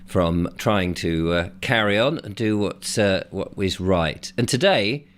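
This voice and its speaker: Croaky low-voiced male host. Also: animated, unhurried. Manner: chatty, friendly, rambling asides. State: croaky from a sore throat. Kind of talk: interview hosting.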